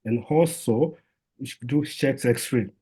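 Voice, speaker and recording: A man's voice: medium pitch, slow pace, dark tone, breathy edge. A man, a doctor, giving an informal health talk in a conversational way, with lots of fillers and restarts.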